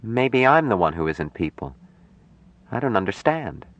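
A man voicing a worried, affectionate young woman in an audiobook story, gentle and questioning in manner. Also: on the low side, sing-song, normal speed, dry recording, dull audio. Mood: puzzled.

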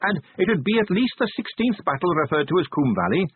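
A man's voice: sits high, big pitch swings, brisk, breathy edge, phone-quality sound, pitched above the performer's own voice, full character voice. Carrot, a man voicing an earnest eager young captain.

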